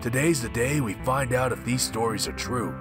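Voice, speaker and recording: A man's voice: medium pitch, normal speed, natural voice. An actor playing a brash, eager, uneducated henchman in an audio drama, talking in blunt slang. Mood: determined. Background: music.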